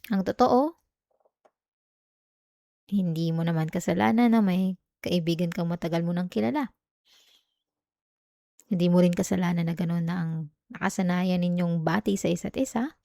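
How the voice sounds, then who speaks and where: A woman's voice: fairly low pitch, moderate pace, vocal fry, pauses often, warm tone, dry recording. A woman acting as a jealous, clingy young girlfriend in an intimate ASMR roleplay, with a Filipino accent. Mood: remorseful, tender.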